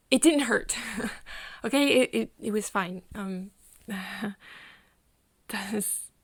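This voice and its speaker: A woman playing a caring, flustered young woman in an ASMR roleplay, with a soft, breathy voice and a tender, stammering manner. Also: mid-range voice, very slow, long pauses, dry recording, exaggerated voice. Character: caring, flustered young woman soothing her vampire friend.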